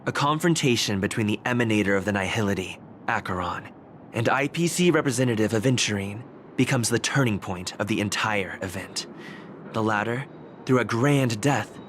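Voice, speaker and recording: A man playing a composed storyteller in a game, narrating an epic recap in a measured, formal way. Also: on the low side, a bit bright, slight background noise, lightly acted.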